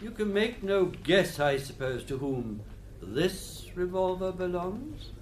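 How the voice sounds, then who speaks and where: A man playing a brisk, probing English coroner in a radio drama, questioning formally and precisely. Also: high voice, big pitch swings, a little hiss or hum, full character voice.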